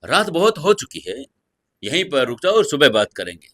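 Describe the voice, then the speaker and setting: A man's voice: fairly high pitch, sing-song, normal speed, gravelly, a bit bright, exaggerated voice. A man voicing a cold, guarded young husband in an audio story, curt and controlled.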